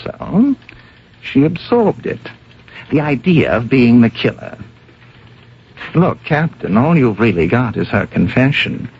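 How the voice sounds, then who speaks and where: Animated, gravelly, sardonic arguer. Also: male voice, medium pitch, a little slow, pauses often. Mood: persuasive. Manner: emphatic, persuasive, sardonic. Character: worldly, argumentative man reasoning with police captain. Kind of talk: old-time radio drama acting. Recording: noisy, dull vintage radio recording.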